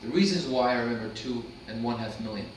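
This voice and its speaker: Stage drama: man as unrepentant Nazi officer, clipped and formal, recorded from a distance with clear background noise. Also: medium pitch, animated, quick pace, close to an everyday voice. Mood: matter-of-fact. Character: unrepentant former Nazi camp commandant on trial, matter-of-fact. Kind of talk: staged play reading. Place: indoor room at a live staged reading, distant mic. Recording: very echoey, noisy room, distant mic.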